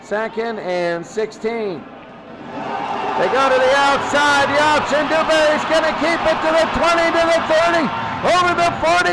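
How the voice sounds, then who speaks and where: High-pitched man calling football play-by-play. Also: gravelly, heavy background noise. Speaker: play-by-play commentator for a video game football stream. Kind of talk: sports play-by-play commentary.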